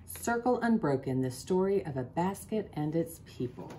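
A woman in her forties reading a children's picture book aloud in an expressive, deliberate way, pausing to put questions to listeners. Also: sits low, a little hiss or hum. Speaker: school principal, guest storybook reader. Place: small office, indoors.

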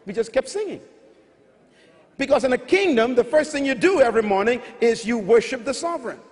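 Bahamian preacher guy, middle-aged, pretty high voice, booming around a big hall. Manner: emphatic, repetitive teaching with rising calls. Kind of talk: sermon teaching. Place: large arena stage before a big audience. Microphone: handheld mic. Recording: large hall with long reverb.

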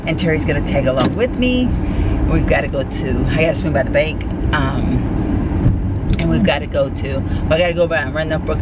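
Congested woman chatting in a noisy car. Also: deep voice. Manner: rambling, chatty, drawn-out fillers. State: congested with a cold. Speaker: vlogger. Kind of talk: casual chat to camera. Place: inside a parked or moving car. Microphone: phone camera on the dashboard. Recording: loud car and road noise.

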